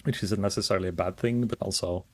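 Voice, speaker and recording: Calm, low-voiced man streaming a game. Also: quick pace. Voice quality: calm, soft-spoken. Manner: rambling, thinking aloud with many fillers. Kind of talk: casual gaming stream chat.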